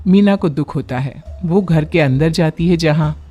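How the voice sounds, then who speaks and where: A woman's voice: low voice, normal speed, warm tone. Woman narrating a cartoon story over music.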